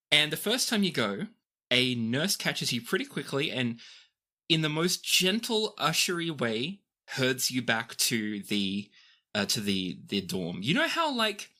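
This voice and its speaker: This is a game master with a bright, forceful voice at a middle pitch. The delivery swings expressively as they improvise scenes for a tabletop game, with playful, descriptive storytelling.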